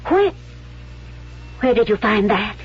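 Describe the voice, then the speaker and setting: An actress playing a proud, poised stage performer accused of spying, theatrical and dignified, in a 1970s radio drama. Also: female voice, mid-range voice, big pitch swings, slow, husky, full character voice. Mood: defiant, indignant. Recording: dull vintage radio recording.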